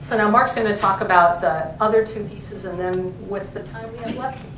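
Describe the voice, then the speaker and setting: A woman's voice: medium pitch, moderate pace. Echoey, distant female professor chatting. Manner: chatty, self-interrupting, many fillers. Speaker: professor, co-editor. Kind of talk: conversational academic talk. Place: library event room. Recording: echoey room, distant mic, faint noise.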